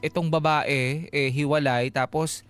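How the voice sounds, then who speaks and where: A man's voice: fairly high pitch, fairly even intonation, a little slow. A Filipino man hosting a radio advice show, speaking frankly and conversationally in a mix of Tagalog and English. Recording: music playing behind the voice. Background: background music.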